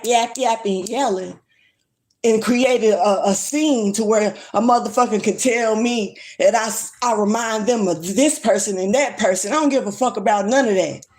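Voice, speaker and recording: A woman's voice: medium pitch, a little mellow. A woman, ranting bluntly and swearing freely in a casual livestream. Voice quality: strong voice. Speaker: livestream vlogger. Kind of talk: casual rant to camera.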